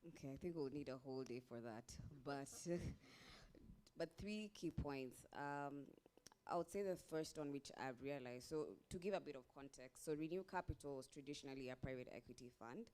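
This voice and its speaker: A woman with a Kenyan accent speaks as an investor on a workshop panel. Her voice is smooth and resonant. She lays out her lessons point by point and restarts a phrase now and then. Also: extremely low pitch, normal speed, darkish tone. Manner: explains point by point, restarts, occasional laughs. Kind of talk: panel discussion answer.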